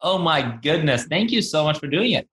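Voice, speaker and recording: A man's voice: on the high side, big pitch swings, moderate pace, fairly bright tone. Man in an echoey room, chatting informally. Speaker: podcast host being interviewed as a guest on own show. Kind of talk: casual conversational interview. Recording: roomy, echoey sound.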